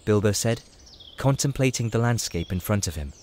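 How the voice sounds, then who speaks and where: A man's voice: on the low side, animated, moderate pace, darkish tone. A man reading scripted storybook narration for an audio drama. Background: music.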